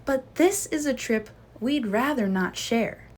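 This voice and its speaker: A woman voicing earnest young bear cub scouts in a children's storybook, expressive and eager. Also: on the high side, takes it slowly.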